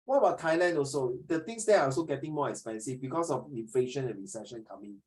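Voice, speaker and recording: An animated tutor with a Singaporean English accent leads a small class. He is a man of middle pitch who rambles through asides. He drops Mandarin phrases in among the English. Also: quick pace. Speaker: social studies tutor. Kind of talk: online class teaching.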